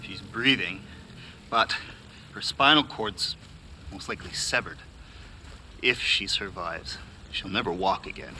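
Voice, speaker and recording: A man acting a cautious, concerned companion in a video game, with a measured, serious manner. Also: sits low, lively intonation, pushed and bright, slight background noise, full character voice, rough recording. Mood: grave.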